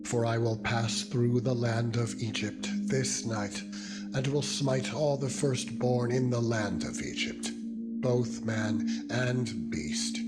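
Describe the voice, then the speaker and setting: A man narrating scripture in a solemn, measured and deliberate dramatized reading style. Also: mid-range voice. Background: loud meditative music with ringing tones.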